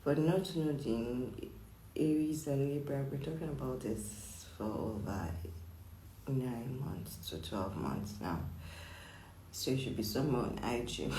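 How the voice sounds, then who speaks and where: A psychic astrologer gives a casual weekly horoscope reading in a husky, croaky, just-woken-up voice. The speech is chatty and full of repeats, with lots of "like" and "okay?". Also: mid-range voice, dark tone, a little hiss or hum. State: hoarse from just waking up. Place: indoor room with echo. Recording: echoey room.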